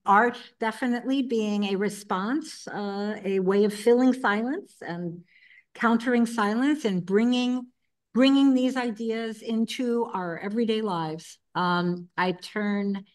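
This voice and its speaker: A woman's voice: on the high side, a little slow. Woman moderator, academic, articulate, thinks aloud at a panel table. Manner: articulate, academic, some stammering restarts. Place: conference room panel table.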